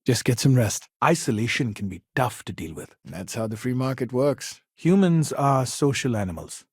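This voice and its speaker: A man's voice: medium pitch, expressive, moderate pace, darkish tone, husky, no echo. Earnest, patient male counselor, dry close sound. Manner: reasoned, explanatory, reassuring. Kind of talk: video game dialogue, measured and conversational.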